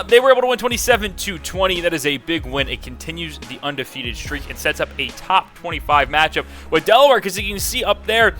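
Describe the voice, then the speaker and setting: Bright, hyped-up young male recap host. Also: high-pitched. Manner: energetic, joking, hyped-up with quick restarts. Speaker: host recapping league scores. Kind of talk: video game league recap commentary.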